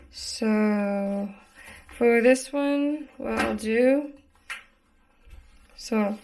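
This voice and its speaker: Slow, hesitant young woman. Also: medium pitch, vocal fry. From Texas, United States. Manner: hesitant, lots of ums and likes. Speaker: vlogger. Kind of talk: casual vlog chat.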